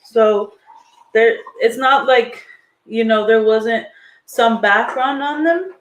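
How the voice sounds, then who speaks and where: A man's voice: very high voice, slow pace, fairly bright tone, roomy sound, slight background noise, rough recording. A man co-hosting a live podcast, mostly chiming in with short agreements and side comments. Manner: brief agreeing interjections. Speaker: podcast co-host.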